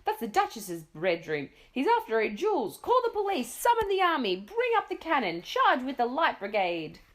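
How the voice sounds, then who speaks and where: A woman voicing a blustering, excitable old duke who bellows orders, in a lively storybook reading. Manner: booming, pompous, hurried shouts.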